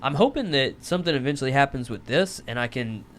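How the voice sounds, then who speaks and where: A man's voice: medium pitch, lively intonation, quick pace, slight background noise. A man hosting a podcast in casual chat, laid-back and swearing freely. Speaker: podcast host.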